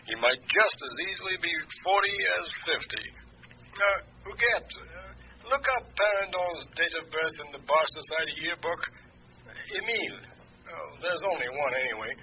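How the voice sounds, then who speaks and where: An actor playing a worldly French lawyer in a radio drama, gossiping in a wry, hesitant, rambling way. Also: male voice, fairly high pitch, expressive, normal speed. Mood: genial, gossipy. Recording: muffled, noisy old radio recording.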